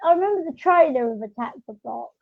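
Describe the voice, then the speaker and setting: A young woman chatting casually as a podcast host, chatty and quick to agree. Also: sits high, animated, gravelly, a crowd in the background. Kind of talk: casual chat. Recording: dull, lo-fi audio.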